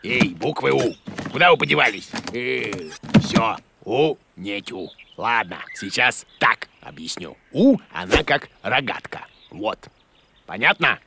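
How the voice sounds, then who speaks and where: An actor playing a bossy, hot-tempered, bumbling monster teacher in a children's educational cartoon, theatrical and grumbling. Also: male voice, medium pitch, expressive, unhurried, vocal fry, background noise.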